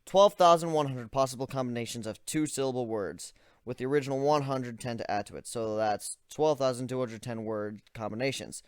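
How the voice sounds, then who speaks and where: A man performs a young griffin inventor in a dramatic storybook reading. He is methodical and analytical, with a weary, exasperated streak. The voice sits at a middle pitch in a very dry space. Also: brisk, clear, resonant tone, no room sound, natural voice. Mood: focused, explaining. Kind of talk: dramatic reading of first-person fan fiction.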